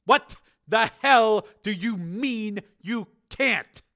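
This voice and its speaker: A man playing a furious, defiant military villain commander who barks and shouts in an audiobook. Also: sits high, expressive, extremely slow, voice pushed up, exaggerated voice. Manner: barking, shouting.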